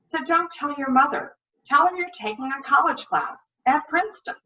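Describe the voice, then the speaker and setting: A woman's voice: sits high, animated, normal speed, pushed and bright, recorded from a distance, muffled recording, pitched above the performer's own voice. A woman voicing an eager, persuasive teenage girl in an audiobook-style story, gushing and pleading. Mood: sing-song coaxing. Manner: pleading, gushing, sing-song at times.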